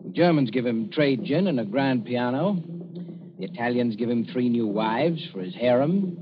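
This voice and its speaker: An actor playing a frank, uneasy young diplomatic attaché in an old-time radio drama, speaking insistently but with deference. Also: male voice, medium pitch, animated, moderate pace, natural voice. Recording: noisy, muffled old radio recording.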